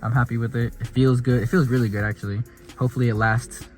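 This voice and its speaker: A man's voice: mid-range voice, steady tone, quick pace, faint music behind. A man casually narrating a car vlog in a laid-back, rambling way with plenty of slang, recorded in and around his car at home. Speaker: car vlogger.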